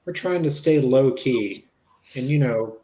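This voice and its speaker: A man reading the part of a polite, low-key federal agent in a dramatic reading of a witness interview. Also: expressive, a little slow, mellow, natural voice. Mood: reassuring. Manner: low-key, matter-of-fact.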